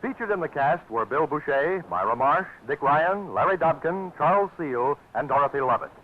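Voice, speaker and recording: A man gives credits and promotions in a lively 1940s network announcer style. His voice is high and swings widely. The sound is tinny, with music behind him. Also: moderate pace, slight background noise. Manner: bright, lively promotional delivery. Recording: tinny old radio broadcast with music.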